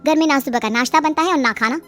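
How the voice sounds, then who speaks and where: A woman's voice: high-pitched, fast talker, a bit bright. A woman voicing a fed-up young married daughter in a story, whiny and complaining. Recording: music behind the voice.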